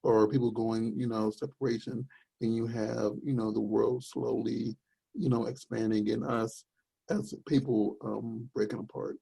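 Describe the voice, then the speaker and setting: A young man with a deep voice in casual podcast chat, rambling and searching for words with many fillers. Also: flat delivery, a little slow, vocal fry, mellow, husky, poor audio.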